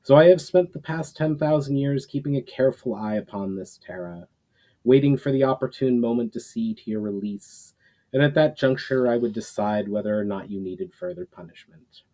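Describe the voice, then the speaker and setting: A man voicing an ancient, forgiving guardian and mentor in a fantasy story, speaking in a solemn, formal, deliberate way. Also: mid-range voice, mellow, close to an everyday voice.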